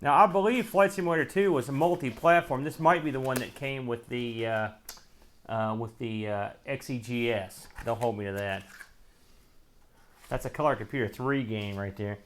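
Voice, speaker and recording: A man's voice: medium pitch, normal speed, slight background noise. A man hosting a retro gaming show, giving casual, wry unboxing commentary in folksy slang as he thinks aloud while handling items.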